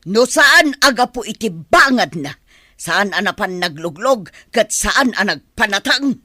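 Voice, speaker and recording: A woman's voice: medium pitch, lively intonation, measured pace, no room sound, clean studio sound. A woman acting an irritable, defensive older grandmother in a radio drama, with an Ilocano accent. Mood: bickering. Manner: sharp, defensive, bickering.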